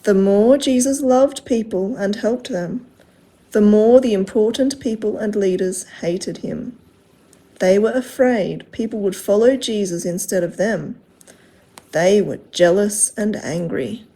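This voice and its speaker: Female children's storyteller, unhurried, soft-edged mellow tone with some huskiness, expressive read-aloud delivery. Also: mid-range voice, slightly rough, poor audio. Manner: expressive, simple phrasing addressed to children.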